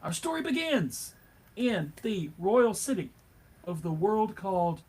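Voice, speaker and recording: A man's voice: sits high, sing-song, measured pace, darkish tone, slightly rough. A man acting as panelist and narrator of a comic book table read, with theatrical, emphatic delivery.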